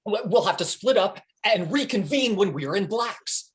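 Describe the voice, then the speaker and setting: Man acting, hot-headed male schemer, stubborn, theatrical, very expressive intonation, stage comedy. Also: sits high, normal speed. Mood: urgent, stubborn. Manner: emphatic, theatrical. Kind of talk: comedic play table reading. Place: indoors, remote video call.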